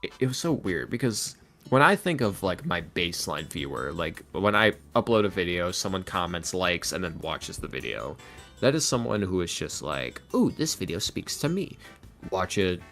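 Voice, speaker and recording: A man chatting casually as a podcast guest, animated and halting, often repeating himself as he goes. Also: low-pitched. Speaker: podcast guest, ASMR roleplay creator and voice actor. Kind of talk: casual interview chat. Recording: music playing behind the voice. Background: background music.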